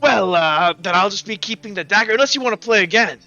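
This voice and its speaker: Swaggering crooked guard played by a male game master, high bright voice, halting taunts, sore loser in a tabletop game. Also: expressive, no room sound, lo-fi sound, faint music behind, full character voice. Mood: sly, insistent. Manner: taunting, halting, repeats himself.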